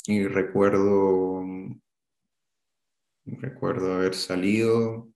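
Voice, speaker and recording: A man in his thirties from Chile with a Chilean Spanish accent, recalling an event as an interviewee, halting and hesitant with many fillers. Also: deep voice, little pitch movement, creaky, darkish tone, reverberant.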